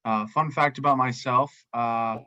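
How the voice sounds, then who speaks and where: A man with a mid-range voice introducing himself on a video call, friendly and a little halting. The sound is dry and close, with no room around it. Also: medium pitch, steady tone, pushed and bright, smooth, no room sound, muffled recording. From Colombia, raised in the US.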